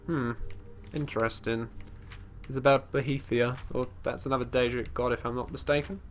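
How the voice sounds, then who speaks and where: A man's voice: mid-range voice, steady tone, moderate pace, slight background noise. A congested man chatting casually as he plays a fantasy game, rambling and often restarting his sentences while describing his character's actions. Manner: talks about his character in third person. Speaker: let's play host. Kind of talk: casual gameplay commentary.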